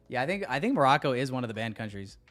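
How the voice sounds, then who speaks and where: A man's voice: medium pitch, fairly bright tone. A man hosting a livestream, chatting casually with his viewers in a slangy, joking way and swearing freely. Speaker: livestream host.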